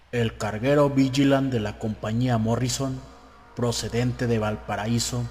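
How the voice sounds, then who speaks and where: A man's voice: medium pitch, darkish tone. A man narrating an audiobook, reading out a newspaper report in a dramatized style. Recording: long hall reverb, music behind. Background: music.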